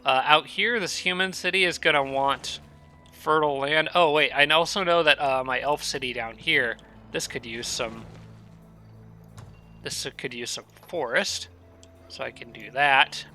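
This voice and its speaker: A man's voice: mid-range voice, measured pace, sharp tone, soft background music. Stuffy-nosed man narrating his strategy game. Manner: thinking aloud, frequent fillers and restarts. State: stuffy nose from allergies. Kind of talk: casual gameplay commentary.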